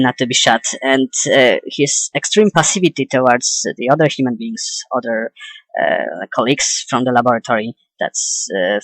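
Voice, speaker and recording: A young adult man giving an opinionated, informal video essay as a game commentator, halting and correcting himself as he goes. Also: mid-range voice, a bit bright, no echo, poor audio. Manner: hesitant, halting delivery with self-corrections.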